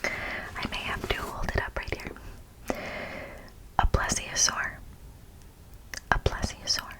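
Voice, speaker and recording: Whispering American woman, slow ASMR delivery. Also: rough recording. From United States. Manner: hushed, deliberate, with playful asides to the listener. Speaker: ASMR host. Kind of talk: ASMR, whispered reading of an informative speech.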